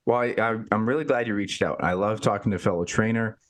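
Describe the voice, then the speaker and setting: A man's voice: lowish voice, quick pace. A man hosting a fitness podcast, upbeat and chatty, often repeating words as he thinks. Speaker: podcast host. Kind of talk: casual interview.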